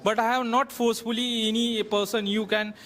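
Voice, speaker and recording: A man from Maharashtra, a Marathi speaker, arguing in a classroom debate in halting English full of fillers. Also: sits high, little pitch movement, a bit bright. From Maharashtra, India. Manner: halting non-native English with many fillers. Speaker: debate participant. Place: large classroom.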